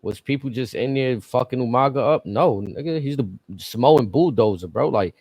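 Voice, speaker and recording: A man's voice: medium pitch, moderate pace, darkish tone, no echo. A man in casual podcast chat, blunt and profane, arguing his points. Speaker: podcast co-host.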